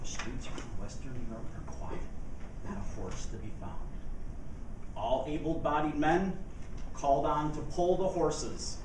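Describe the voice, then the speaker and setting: A man with a high voice performs a tall tale as a contest speech. He takes his time, pausing for dramatic effect like a practiced storyteller. He is heard from some distance in a reverberant room. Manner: deliberate, theatrical storyteller with dramatic pauses. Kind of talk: humorous tall-tale speech to a live audience. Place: indoor meeting room before an audience. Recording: echoey room from afar, faint noise.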